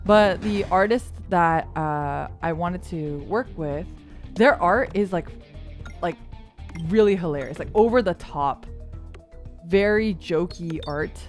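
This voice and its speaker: A woman's voice: takes it slowly, faint music behind. A woman streaming a game, chatting casually with her viewers in a rambling, giggly, self-deprecating way with lots of filler words. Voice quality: lower voice for a woman. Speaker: VTuber streamer.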